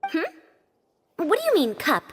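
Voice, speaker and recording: High-voiced fussy academy official. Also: female voice, wildly swooping pitch, unhurried, in a large hall, soft background music, heavily acted. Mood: delighted, eager. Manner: bossy, schoolmistress-like. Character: fussy, officious adult female academy staffer, eager to help. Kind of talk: video game voice acting.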